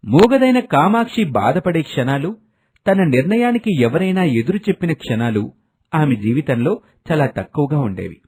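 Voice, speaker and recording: A man's voice: a little high, sing-song, moderate pace, darkish tone, breathy edge. A man narrating a scripted family story as a storyteller.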